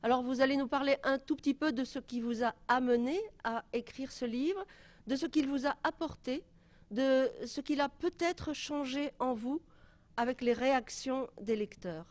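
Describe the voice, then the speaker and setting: A woman's voice: high voice, moderate pace. A woman moderating a public talk, introducing guests formally with frequent hesitant fillers.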